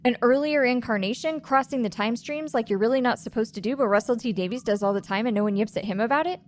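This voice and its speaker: A woman's voice: on the high side, fast talker, slight background noise, close to an everyday voice. A woman playing an arch, playful narrator in scripted sci-fi comedy.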